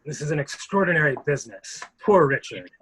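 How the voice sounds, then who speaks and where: A man's voice: fairly high pitch, big pitch swings, brisk, breathy edge, full character voice, low-quality recording. A man playing a genteel, blustering army major with formal, hedging speech in a murder mystery reading. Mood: sympathetic, formal. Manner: formal, hedging, old-fashioned gentlemanly phrasing. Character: blustering, genteel military major running for Congress.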